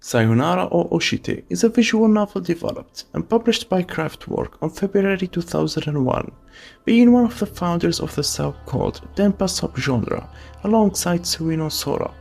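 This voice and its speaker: Lively, gravelly voice-over narrator. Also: mid-range voice, normal speed, faint music behind. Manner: opinionated, wry asides. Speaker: video essay narrator. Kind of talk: scripted voice-over commentary.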